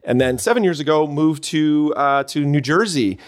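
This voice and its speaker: A man's voice: a little high, normal speed. A middle-aged man with a Canadian accent, chatting as a podcast guest in an upbeat way, full of fillers and restarts. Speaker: podcast guest, church consultant. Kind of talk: casual interview chat.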